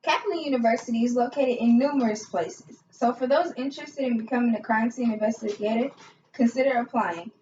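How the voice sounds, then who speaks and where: A woman's voice: fairly high pitch, a little fast, darkish tone, a little hiss or hum, lightly acted. A young woman playing a polite, formal investigator guest in a scripted amateur interview. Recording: echoey, muffled, distant room mic.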